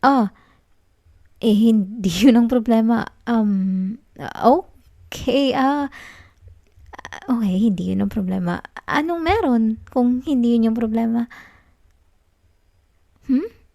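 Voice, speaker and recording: A young woman with a Filipino accent playing a clingy, tender, anxious student girlfriend in an intimate ASMR roleplay, speaking in a soft, coaxing, halting way. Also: a little high, creaky, no echo, clean studio sound. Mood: uneasy, questioning.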